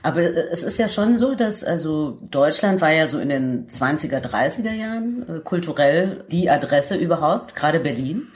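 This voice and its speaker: Female radio host, low register, chatty conversational interviewing. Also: lively intonation, moderate pace, few pauses. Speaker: radio talk show host.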